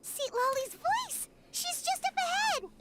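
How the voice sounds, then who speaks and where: A woman voicing a tiny, excitable fairy companion in a video game, with a squeaky childlike voice and chirpy, exclamatory delivery. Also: measured pace, noisy background. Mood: excited.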